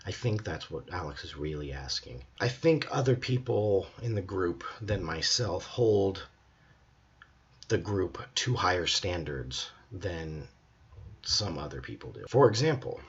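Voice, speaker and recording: A hoarse young man, a drama student, talking earnestly and reflectively in a personal video journal. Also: low voice, fairly bright tone, dull audio. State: hoarse, voice hard to hear.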